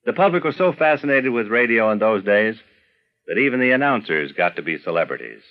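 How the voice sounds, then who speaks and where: A man's voice: on the low side, lively intonation, brisk, narrow, tinny audio. An older American man, a veteran announcer, narrating radio memories from a script with careful, polished diction.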